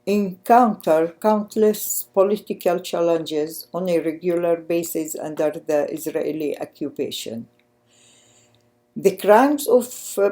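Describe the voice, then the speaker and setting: An older Palestinian woman reads out a political speech in Arabic-accented English. Her voice is somewhat low and mellow, and she moves slowly through the text. The phrasing is deliberate and a little halting, as of someone reading in a second language. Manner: deliberate, halting phrasing with stumbles over words. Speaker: activist speaker. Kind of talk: prepared political speech, read aloud.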